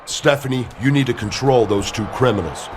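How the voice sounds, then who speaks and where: Over noisy ambience comes a man as a self-important villain wrestler in a game cutscene. His mid-pitched voice is demanding and indignant, the sound of a champion convinced he is owed protection. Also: a little fast, lightly acted. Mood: agitated, demanding. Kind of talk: scripted game cutscene dialogue.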